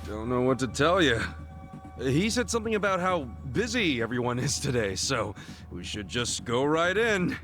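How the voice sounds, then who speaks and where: A hot-headed but earnest gangster in a video game drama, mid-pitched and very animated. He talks in loose slang with stubborn conviction, over prominent music. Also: normal speed. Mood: bluffing. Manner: casual, slangy, impulsive. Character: brash, stubborn, earnest loyal yakuza man, hot-headed. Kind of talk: English video game dub acting. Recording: music behind the voice.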